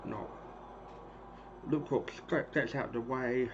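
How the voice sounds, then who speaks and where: A hoarse man in his thirties casually calling the action of a wrestling game he is streaming, rambling and clearing his throat often. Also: mid-range voice, steady tone, slow. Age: 30s. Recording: dull lo-fi stream audio with clear noise.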